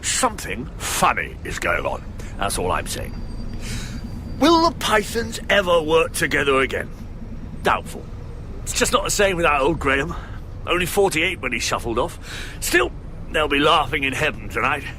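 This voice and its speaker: A bright, highish male gossip with lively pitch swings. He is cynical and insinuating, in a radio comedy monologue with faint noise. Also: moderate pace. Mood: insinuating, amused. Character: nosy, cynical, muttering English gossip, male.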